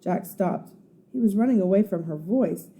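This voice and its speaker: A woman, a first grade teacher, narrating a children's storybook in an expressive, suspenseful, dramatic way. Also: medium pitch. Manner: suspenseful, dramatic, pauses to explain words.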